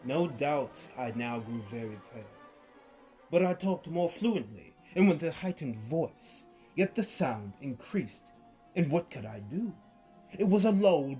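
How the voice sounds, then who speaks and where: A man giving a theatrical reading of a story, swinging from hushed to loud. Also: fairly high pitch, rough recording. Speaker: narrator. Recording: faint music behind.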